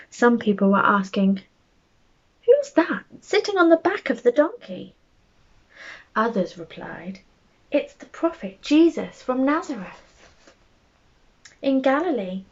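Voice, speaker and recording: A woman telling a bedtime story to young children, speaking gently and expressively as she reads aloud and chats to them. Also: fairly high pitch, breathy edge, dull audio.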